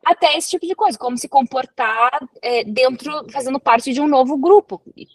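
Chatty Brazilian woman, fairly high voice. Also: normal speed, dry recording. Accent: Brazilian Portuguese. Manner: chatty, explains with everyday examples. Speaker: guest researcher. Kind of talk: casual interview conversation.

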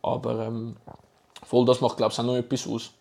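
A young man with a Swiss German accent, co-hosting a casual chat podcast, emphatic and quick to react and laugh. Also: medium pitch, monotone, vocal fry, a little mellow, a little hiss or hum. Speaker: podcast co-host.

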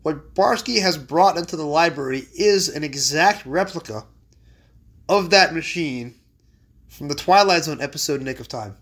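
Hoarse wry man, a bit high. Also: animated, normal speed, fairly bright tone. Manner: wry, reads fortune cards aloud with mock drama. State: hoarse after illness. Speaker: podcast host. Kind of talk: casual chat. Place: home library.

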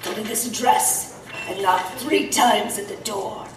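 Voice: mid-range voice, measured pace, recorded from a distance, natural voice. An actor playing an anxious, furtive male informant who speaks in clipped, secretive phrases. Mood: furtive, urgent. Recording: echoey, off-mic, ambient noise behind.

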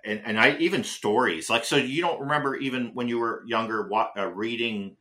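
A man's voice: mid-range voice, brisk, bright, forceful tone. A man co-hosting a casual film podcast, rambling and chatty with lots of restarts and fillers. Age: older than his co-host. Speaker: podcast co-host.

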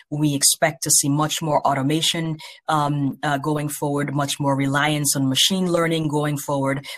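A university president from Haiti giving thoughtful interview answers in formal academic phrasing, with a measured, earnest manner. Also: mid-range voice.

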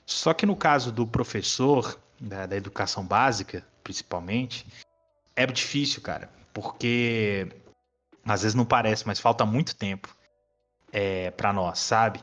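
A man's voice: lowish voice, unhurried, a bit bright, faint music behind. A Brazilian man aged twenty-nine chatting casually as a history teacher, explaining things informally with slang and some swearing. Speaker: history teacher and podcast guest. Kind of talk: casual conversation.

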